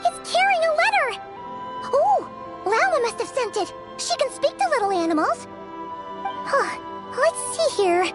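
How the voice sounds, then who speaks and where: Game fairy sidekick: extremely high, animated, childlike, excitable and cheeky. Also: female voice, a little slow, long pauses, exaggerated voice. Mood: excited. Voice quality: squeaky, childlike. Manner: exclamatory, speaks of herself in third person. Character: small excitable floating fairy companion girl, childlike and cheeky. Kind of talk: video game voice acting. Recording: music behind the voice.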